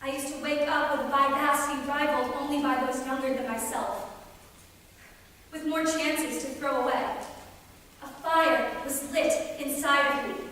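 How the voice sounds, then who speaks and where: A woman acting a haunted, bitter, grieving character in a dramatic, deliberate staged reading. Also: sits high, fairly even intonation, a bit bright. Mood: wistful, bleak. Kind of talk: theatrical monologue and dialogue in staged reading. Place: theatre stage, recorded from audience distance. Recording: echoey theatre hall, distant mic, faint noise.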